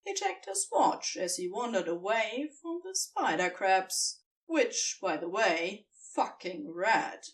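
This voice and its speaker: A woman narrating fan fiction like an audiobook, with deliberate, dramatic phrasing and emphatic pauses. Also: medium pitch, expressive, recorded from a distance.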